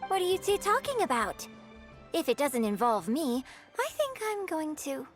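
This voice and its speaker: A woman voicing a poised, polite young female opera performer in a video game, measured and earnest. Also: high-pitched, big pitch swings, over music. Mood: curious, polite.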